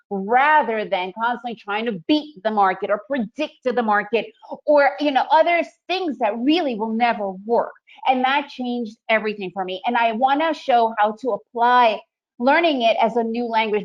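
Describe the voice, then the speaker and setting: A woman in her forties, originally from the Soviet Union and raised in the US, presents a day trading webinar in an energetic, chatty way, often checking in with "right?". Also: fairly high pitch, muffled recording. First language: Russian.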